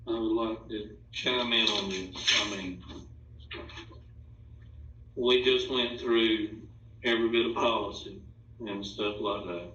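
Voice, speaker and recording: A man making plain-spoken, questioning and halting remarks off the cuff at a board meeting. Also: fairly low pitch, steady tone, pushed and bright. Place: indoor meeting room. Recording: roomy, distant, dull sound, faint noise.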